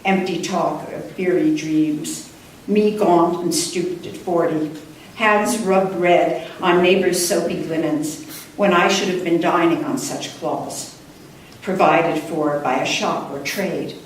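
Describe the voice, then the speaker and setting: A woman poet reading her own work live at a book launch, with a measured, deliberate delivery and careful pauses. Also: fairly low pitch, steady tone. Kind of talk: live poetry reading with brief introductions. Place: indoor room before a live audience. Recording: distant mic, echoey room, faint noise.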